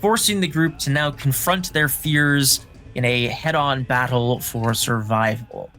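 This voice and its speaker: A man's voice: mid-range voice, a little slow, pushed and bright, faint music behind. A man acting as game master, narrating a dramatic recap and explaining rules casually.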